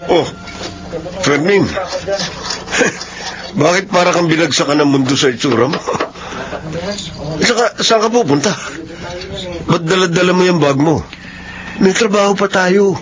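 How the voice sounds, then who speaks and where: A man's voice: high voice, measured pace, pauses often, crowd noise. An actor playing a beleaguered middle-aged widowed father, earnest and emotive, in a radio drama. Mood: puzzled. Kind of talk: melodramatic radio drama acting. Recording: dull radio broadcast with faint noise.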